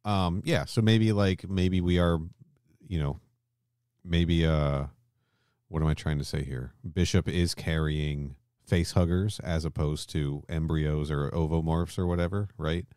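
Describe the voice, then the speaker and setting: Really deep voiced dude podcasting, casual n sweary, dead dry audio. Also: male voice, steady tone, unhurried, darkish tone, clean tone, no echo. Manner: informal, sweary. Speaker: podcast host.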